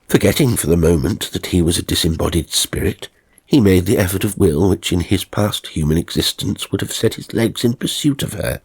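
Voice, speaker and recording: A man narrating a ghost story from a script in a measured, theatrical, genteel manner. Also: low voice, darkish tone, husky.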